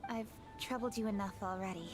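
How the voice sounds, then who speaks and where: A woman's voice: medium pitch, brisk, natural voice. A woman playing a composed, dutiful young official in a video game, speaking in a formal, firm way. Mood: resolute. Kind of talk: anime-style video game voice acting. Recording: faint music behind the voice.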